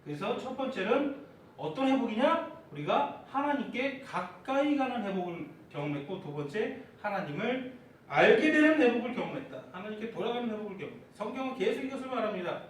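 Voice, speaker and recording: A man preaching a sermon as pastor, asking the congregation questions and rising to fervent, shouted prayers. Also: sits high, sing-song, brisk, slight background noise. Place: church sanctuary. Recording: echoey church hall heard from far off.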